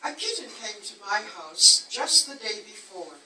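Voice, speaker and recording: Female poetry reader, unhurried dramatic storytelling, roomy distant live recording with faint noise. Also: mid-range voice, very animated, sharp tone. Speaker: poet reading at a book launch. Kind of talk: recited rhyming poetry reading. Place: indoor book launch event. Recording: echoey room, distant mic, faint noise.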